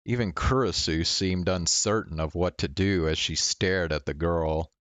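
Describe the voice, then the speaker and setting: A man's voice: low-pitched, a little slow, a bit bright, clear, resonant tone, no room sound. A man doing casual game commentary and reading visual novel text aloud. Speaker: game streamer. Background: game music.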